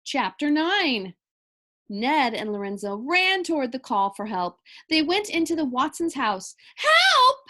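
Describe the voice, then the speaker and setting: Lady reading a kids book out loud, really high voice, lots of up and down. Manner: animated, deliberate storybook delivery. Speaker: children's story reader. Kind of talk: expressive read-aloud of a children's book.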